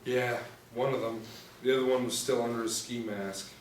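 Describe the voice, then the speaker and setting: A man's voice: medium pitch, fairly even intonation, moderate pace, natural voice. Echoey man reading as a hesitant young student witness. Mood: uneasy, reluctant. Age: young adult. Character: scared, reluctant young male student witness. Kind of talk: scripted table read of a TV pilot. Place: small indoor room, seated reading. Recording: echoey room, distant mic, faint noise.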